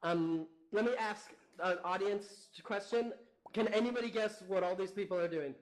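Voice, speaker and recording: A young man from Canada, guesting on a talk show by remote link, chatty and quick to laugh. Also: sits high, poor audio. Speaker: guest, company founder. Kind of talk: casual interview chat. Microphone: remote call.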